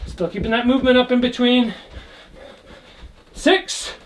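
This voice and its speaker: A man's voice: very high-pitched, measured pace, a little hiss or hum. A young man teaching a kickboxing workout, calling out combinations while he demonstrates the moves in a small indoor room. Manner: calls out combos while demonstrating, energetic. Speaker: kickboxing instructor. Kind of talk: workout instruction. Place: indoor room with trophy shelves.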